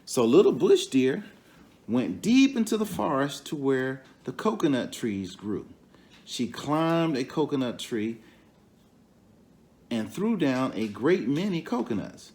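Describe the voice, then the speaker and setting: A man reads a children's story aloud at a leisurely pace. His voice swings widely in pitch, and he talks directly to his listeners in an expressive storyteller's manner. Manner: expressive, pauses to question and comment to the young audience. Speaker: storybook reader.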